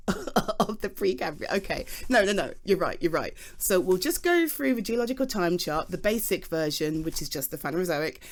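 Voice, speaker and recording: British woman playing a earth nerd geologist girl, lowish voice, chatty n flustered but keen, asmr roleplay style. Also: big pitch swings. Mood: playful, animated. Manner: chatty, halting, giggly, explains earnestly. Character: good-humoured, nerdy young female geologist, flustered but enthusiastic. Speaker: audio roleplay performer. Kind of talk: ASMR roleplay, conversational one-sided dialogue.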